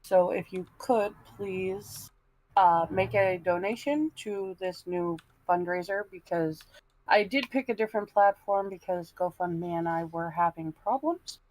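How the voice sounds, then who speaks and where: A woman on a panel stream speaking casually and plainly, in a halting way. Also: on the low side, darkish tone, low-quality recording. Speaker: panel member. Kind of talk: casual, halting plug to viewers.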